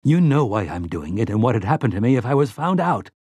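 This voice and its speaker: Man doing a gruff, aggrieved country man, raised pitch. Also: normal speed, a little mellow, no echo, lightly acted. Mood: weary, bitter. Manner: snarling, aggrieved, folksy. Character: rough country man, guilt-ridden traitor, snarling and whiny.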